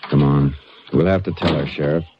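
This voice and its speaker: An American man acting a steady, probing Texas Ranger in an old-time radio drama, with terse, businesslike delivery. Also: very deep voice, a little fast. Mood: grave, probing. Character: steady, probing male Texas Ranger who narrates his case. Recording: noisy muffled old radio recording.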